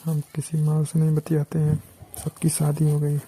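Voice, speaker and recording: Dark, husky Bhojpuri-accented man, teasing. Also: on the high side, monotone, normal speed, poor audio. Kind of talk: casual teasing phone chat. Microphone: phone call.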